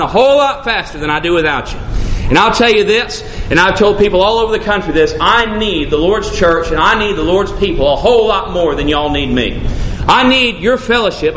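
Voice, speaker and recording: A man preaches with fervour, his voice sitting a little higher than most men's. He builds his points through repeated refrains and swells to shouts, and the sound rings around an echoey room with a faint hum behind him. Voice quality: says his voice is deep, sings bass. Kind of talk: sermon.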